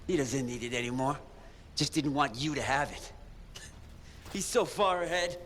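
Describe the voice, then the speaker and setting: An actor playing an excitable, spiteful henchman in a video game, mocking whoever he faces. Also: medium pitch, normal speed, long reverb tail, a little hiss or hum, close to an everyday voice. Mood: bitter taunting. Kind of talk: video game character dialogue.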